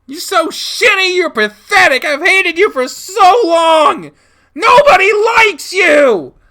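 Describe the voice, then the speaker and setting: A man voicing an enraged, defiant adolescent male animal in a dramatic story, shouting in tearful, whiny protest. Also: very high voice, big pitch swings, measured pace, pitched above the performer's own voice, exaggerated voice. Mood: shrieking fury.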